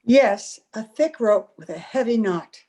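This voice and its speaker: A woman's voice: a little high, animated, a little slow, darkish tone. A woman playing a frightened, distraught young woman in an old-time radio horror play, pleading and melodramatic. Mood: haunted, horrified. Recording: home video-call recording.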